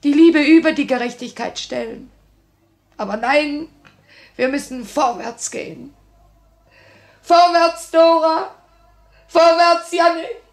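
An actress playing a grieving but resolute young revolutionary in a 1950s German radio play, solemn and theatrical. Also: female voice, high-pitched, slow, pauses often. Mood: desperate, impassioned. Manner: theatrical, solemn, impassioned delivery. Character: grieving, resolute young woman revolutionary, torn between love and duty.

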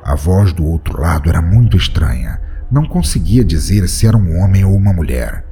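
Deep dark male horror narrator. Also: sing-song, brisk, faint music behind. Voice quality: dramatic, tense storytelling. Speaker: narrator and podcast host. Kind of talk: first-person horror narration.

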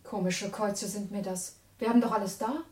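A woman's voice: mid-range voice, steady tone, brisk, a little mellow, lightly acted. An actress playing a composed woman among intellectuals in a German radio play. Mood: calm. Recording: roomy, distant from the mic.

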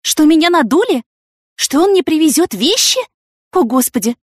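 A woman's voice: high voice, very animated, moderate pace, no room sound, clean studio sound, voice pushed up. A woman voicing a vain, impulsive, dramatic young woman in an audiobook, with theatrical, indignant exclamations. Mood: frantic, wailing. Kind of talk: audiobook character acting.

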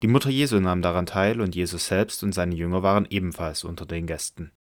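A man narrating a scripture audio drama in a measured, solemn manner from a script. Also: deep voice, no room sound, studio quality.

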